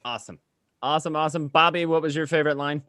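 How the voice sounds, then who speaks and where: A man's voice: fairly high pitch, steady tone, rapid-fire, bright, forceful tone, clear, resonant tone, dry recording, clean studio sound. A man hosting a casual group Q&A, moving from one guest to the next. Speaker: host. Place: remote call from home.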